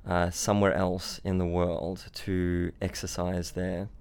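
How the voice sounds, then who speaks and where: A man's voice: low-pitched, level tone, unhurried, a little mellow, smooth. A young man born in Switzerland and raised in Australia, a startup founder chatting casually as a podcast guest, often repeating words and restarting sentences. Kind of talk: casual interview chat. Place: London.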